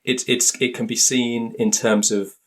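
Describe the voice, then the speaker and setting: A man's voice: mid-range voice, little pitch movement, measured pace, fairly bright tone. A man speaking as a guest linguist in an interview, thoughtful and hesitant, often restarting his sentences and searching for words. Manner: hesitant, many restarts and fillers.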